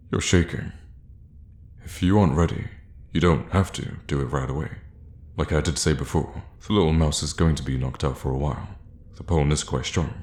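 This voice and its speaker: A man playing a scheming, condescending royal guard loyal to his queen, in an intimate, theatrical fantasy ASMR roleplay with measured, pointed pauses. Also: rumbling low, a little mellow, echoey room. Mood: coolly conspiratorial.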